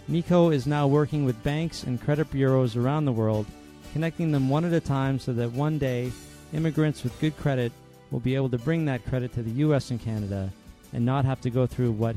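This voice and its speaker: Male podcast host, mid-pitched, friendly and animated, faint music bed. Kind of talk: scripted intro, then casual interview chat.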